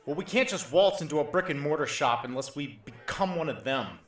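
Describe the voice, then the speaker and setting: A man's voice: on the high side, animated, a little fast, natural voice. A man playing a jumpy, cynical survivor sidekick in an apocalyptic audio drama, with a sardonic, dramatic delivery. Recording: roomy echo, faint dramatic music behind.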